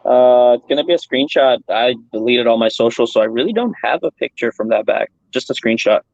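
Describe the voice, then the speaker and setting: A man's voice: mid-range voice, a little fast, gravelly, no echo. A man joking around on a casual video call. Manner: lively, joking banter. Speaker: guest. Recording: dry lo-fi call audio.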